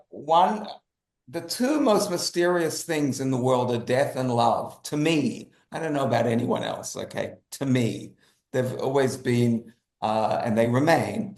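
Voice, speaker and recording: A man's voice: mid-range voice, a little slow, darkish tone. An Australian man answering interview questions as a guest, speaking emphatically and repeating phrases for effect. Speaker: guest, writer and animator. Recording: roomy, echoey sound.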